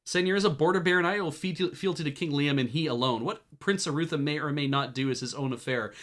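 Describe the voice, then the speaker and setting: Guy reading as a furious proud baron, loud and bright, a bit higher up, talks down to everyone. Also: fast talker, lightly acted. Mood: haughty. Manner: indignant, haughty, loud. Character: furious stern male border baron, middle-aged, proud.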